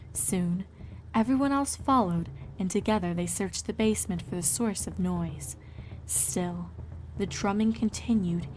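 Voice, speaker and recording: A woman narrates an adventure story from a script. Her pitch is in the middle range for a woman, and there is faint noise and ambience behind her. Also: darkish tone, rough recording. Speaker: fan fiction narrator.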